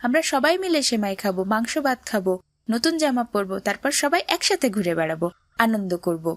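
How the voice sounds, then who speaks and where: A woman's voice: on the high side, very animated, a little fast, no room sound. A woman voicing a kind, earnest neighbour boy who talks in a moralising way in a cartoon drama. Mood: kindly, cheerful.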